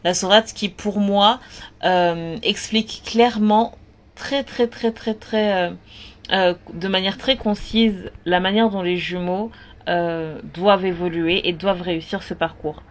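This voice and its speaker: A woman talking earnestly and directly to her listeners, repeating words and pausing on fillers as she gives spiritual advice. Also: medium pitch, steady tone. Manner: earnest, repetitive, lots of fillers. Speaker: spiritual advice content creator. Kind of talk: casual talk.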